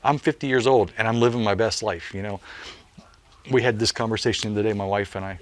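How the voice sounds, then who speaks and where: A man's voice: on the low side, steady tone, fast talker. A man in his late forties, a parachute jumpmaster, telling stories in a casual interview with an earnest manner and many "you know" fillers. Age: late 40s.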